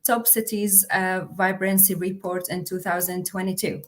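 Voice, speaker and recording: A woman's voice: medium pitch, fairly even intonation, normal speed. A young woman, a university officer, presenting a livestream with many fillers and restarts. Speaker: international officer.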